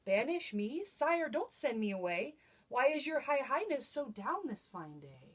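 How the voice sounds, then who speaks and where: Storybook court jester, played by a woman: earnest, hopeful, troubled but determined, expressive rhyming delivery. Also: high-pitched, unhurried, slightly rough, pitched above the performer's own voice, full character voice. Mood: dismayed.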